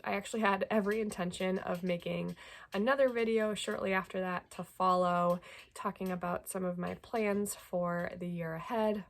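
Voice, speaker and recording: A woman's voice: medium pitch, fairly even intonation, normal speed. A young woman with a stuffy nose from a cold, chatting casually about her knitting as a podcast host, with lots of ums. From Greater Portland, Maine. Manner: chatty, many ums, friendly. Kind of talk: casual chat to camera.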